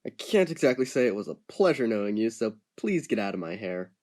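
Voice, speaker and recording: An actor playing a gruff male ship captain who talks in a curt, dismissive way in an amateur audio drama. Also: mid-range voice, big pitch swings, a little fast, darkish tone.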